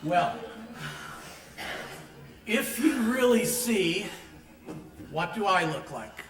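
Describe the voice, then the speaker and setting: A suspicious, haughty elder who probes with skeptical questions in an old radio play. His voice runs high for a man and moves slowly. The recording echoes and sounds distant. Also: animated, full character voice.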